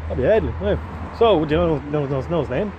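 A man's voice: a little high, lively intonation, fast, lo-fi sound. An Irish man in his thirties chatting casually to a handheld camera, rambling in a playful, informal, sweary way. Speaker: vlogger. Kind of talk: casual, rambling vlog chat. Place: mostly outdoors by a parked van. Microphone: handheld camera or phone. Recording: outdoor handheld recording with faint noise.

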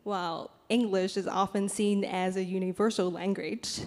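A young woman whose first language is Cantonese reads a prepared statement into a handheld mic, pausing often with ums. Her delivery stays flat and even in pitch. The sound carries the long reverb of a large conference hall. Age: young adult. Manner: reads from laptop with many pauses and ums. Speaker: youth panel speaker. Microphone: handheld microphone.

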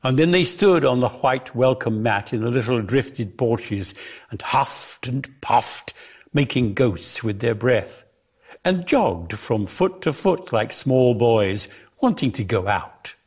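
Gravelly man giving an unhurried dramatic reading. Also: mid-range voice. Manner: expressive, measured storytelling. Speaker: reader of a dramatic story. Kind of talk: theatrical dramatic reading of lyrical prose.

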